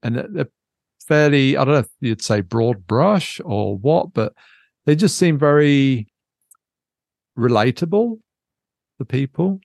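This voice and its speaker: A man's voice: mid-range voice, expressive, measured pace, gravelly, pauses often, a little mellow, dry recording, studio quality. A man chatting casually as a podcast host, thinking aloud hesitantly and drifting into asides. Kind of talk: casual unscripted book chat.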